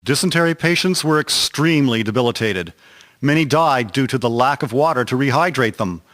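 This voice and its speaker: A man's voice: mid-range voice, a little fast, a bit bright. A man reading scripted narration for a historical radio drama. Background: instrumental music.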